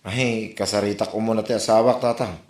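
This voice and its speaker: A man's voice: lowish voice, fairly even intonation, a little fast, close to an everyday voice. A man playing an exasperated, reproachful grown son with an Ilocano accent in a comedy drama. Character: exasperated grown son scolding his drinking father. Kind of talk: Ilocano comedy radio-style drama acting. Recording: roomy echo.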